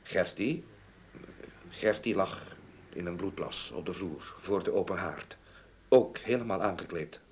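Low-voiced, grave male witness. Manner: measured, factual, grave. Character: sober, earnest gentleman witness, Mr. Lichtveld. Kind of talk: Dutch radio play acting. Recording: slightly noisy old radio drama recording.

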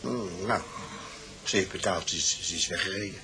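A man with a Netherlands Dutch accent playing a sleepy, shaken petrol pump owner in a radio drama, halting and repeating his words. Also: sits low, bright, forceful tone, slight background noise. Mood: sleepy, hesitant. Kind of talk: naturalistic radio drama acting.

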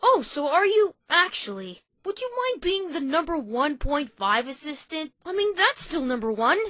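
A woman's voice: high voice, big pitch swings, moderate pace, sharp tone, dry recording, studio quality, heavily acted. A woman voicing a cocky, playful little boy dragon assistant in a childlike cartoon fan dub. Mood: boastful, exasperated.

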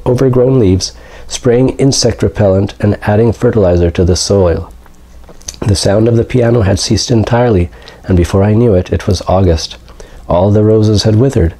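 A man in his thirties reading a literary short story aloud in a measured way, recorded in a living room a few feet from the camera. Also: lowish voice, warm tone, low-quality recording. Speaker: story reader. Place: indoors, living room with books and brick fireplace.

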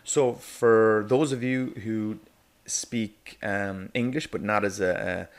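A man teaching a negotiation course in a chatty, loose way, checking in with "okay?" and filling pauses with "um". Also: lowish voice, fairly even intonation. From Ireland. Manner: chatty, frequent "okay?" and "um" fillers, laughs lightly mid-sentence. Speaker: negotiation coach. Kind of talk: casual spoken course narration. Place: voice-over for an animated whiteboard video.

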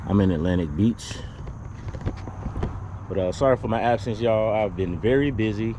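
A man's voice: fairly low pitch, moderate pace, background noise. A middle-aged man chatting casually and folksily to his viewers as he vlogs outdoors on a camera. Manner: folksy, informal, repeats "y'all". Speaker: fishing vlogger. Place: outdoors on a wooden waterside boardwalk. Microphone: action camera, at arm's length or nearby.

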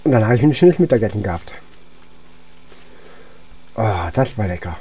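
Very dark-voiced man casually narrating gameplay. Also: fairly low pitch, big pitch swings, normal speed, breathy edge. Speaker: gaming video host. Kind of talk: casual let's-play commentary.

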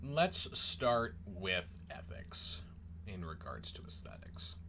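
A man co-hosting a podcast, listening with steady "mm-hmm"s and asking hesitant questions. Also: low voice, takes it slowly, gravelly, slight background noise. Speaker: podcast co-host. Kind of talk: casual philosophical discussion.

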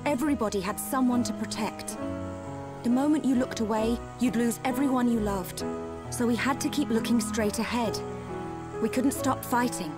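A woman's voice: fairly high pitch, moderate pace, natural voice. Woman acting as young british soldier girl in a video game, earnest and caring, soundtrack playing behind her. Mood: sombre, resolute. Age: young adult. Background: game soundtrack music.

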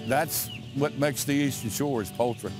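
A male farmer makes his point in a plain, folksy, emphatic way. His voice is medium in pitch, with clear music and clucking chickens behind it. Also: normal speed. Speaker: poultry farmer. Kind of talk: plain-spoken interview soundbite.

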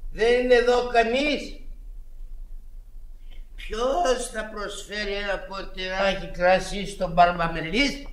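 An actor playing a wheedling, boozy vagabond painter in a Greek radio play, chatty and theatrical. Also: male voice, high-pitched, rough recording. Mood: jovial. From Greece. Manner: chatty, theatrical, self-pitying and cajoling.

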